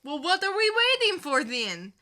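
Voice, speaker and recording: A woman voicing a skeptical, excitable male general in a theatrical, indignant comic-book reading. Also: sits high, moderate pace, full character voice.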